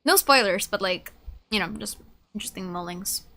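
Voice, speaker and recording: A woman's voice: mid-range voice, moderate pace, pushed and bright, slight background noise. A woman streaming a game, chatting casually, musing and reacting aloud as she plays.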